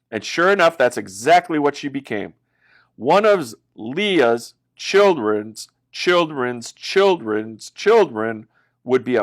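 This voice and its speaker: Animated man telling children's Bible stories. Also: medium pitch. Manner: dramatic, expressive delivery with exaggerated emphasis.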